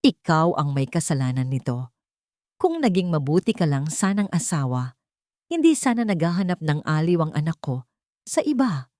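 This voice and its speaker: A woman's voice: low-pitched, sing-song, normal speed, darkish tone, no room sound, studio quality. A woman voicing a haughty, scornful older matriarch in a dramatic story, imperious and threatening. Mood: bitter, accusing. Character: furious, haughty, menacing older matriarch mother-in-law.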